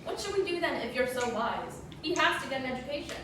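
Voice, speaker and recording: Young woman playing sharp-tongued mother, high voice, exasperated, sarcastic, amateur radio drama, echoey distant recording. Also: lively intonation, fast talker. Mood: argumentative. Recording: echoey room, distant mic, noisy.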